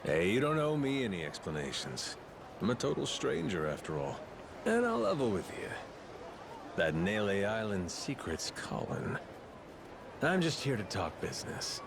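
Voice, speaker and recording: An actor playing a gruff, blunt, wry middle-aged pirate captain with a gravelly voice in a video game cutscene. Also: male voice, lowish voice, huge pitch swings, moderate pace, noisy background, heavily acted. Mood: wry, easygoing. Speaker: lead character, captain.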